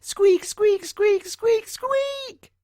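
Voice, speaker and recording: A tiny, frightened storybook mouse, squeaking high above a normal speaking voice. He frets and exclaims anxiously, and the sound is close and dry. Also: extremely slow, a little mellow, no echo, full character voice. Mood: frantic squeaking. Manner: fretful, exclaiming.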